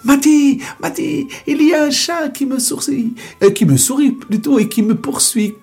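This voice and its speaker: A man voicing a frightened woodcutter, crying out in a high, panicky voice. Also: sing-song, moderate pace, darkish tone, faint music behind, full character voice. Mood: panicked. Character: frightened woodcutter turned animal, male.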